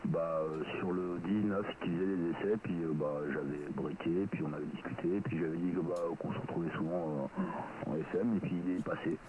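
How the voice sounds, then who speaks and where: A man's voice: deep voice, fairly even intonation, normal speed. A man chatting casually over amateur radio with a hesitant, rambling delivery. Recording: very noisy radio transmission.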